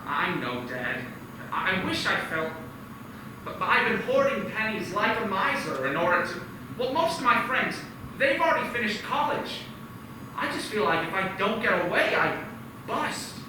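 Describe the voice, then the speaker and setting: A man's voice: high voice, very animated, moderate pace. An actor playing a restless young man who dreams of leaving his small town, earnest and eager, sometimes stammering. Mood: frustrated. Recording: echoey room, far from mic, noisy.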